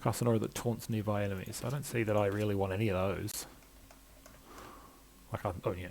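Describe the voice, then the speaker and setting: A man's voice: on the low side, fairly even intonation, fairly quick, creaky, darkish tone, a little hiss or hum. A middle-aged man playing a video game, thinking aloud and reading out menus as commentary. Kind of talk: let's play commentary. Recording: faint game ambience behind voice.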